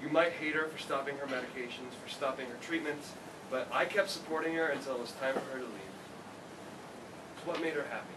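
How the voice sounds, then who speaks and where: A man's voice: medium pitch, fairly quick, lightly acted. Echoey, reproachful old father played by a man. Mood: sad, resigned. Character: widowed old father, reproachful, devoted, weary. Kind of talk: staged reading of a play. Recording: distant mic, echoey noisy room.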